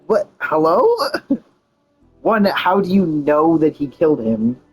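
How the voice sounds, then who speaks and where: A man giving casual, informal play-through commentary as a game streamer, animated and incredulous. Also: a little high, takes it slowly, husky, soft background music, low-quality recording.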